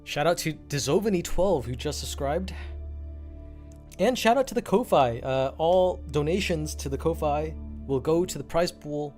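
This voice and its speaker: A young man hosting and casting a live game tournament, upbeat and full of fillers, with his voice going hoarse. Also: medium pitch. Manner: upbeat, hype announcer intros with frequent fillers. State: losing his voice. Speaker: tournament host and caster. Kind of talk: live game commentary on a stream.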